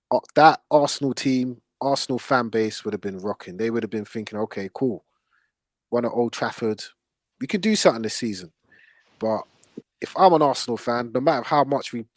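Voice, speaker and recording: A male football podcast host speaks in a dry, close recording. His pitch is middling, and he talks in blunt, slangy, opinionated chat. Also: dry recording.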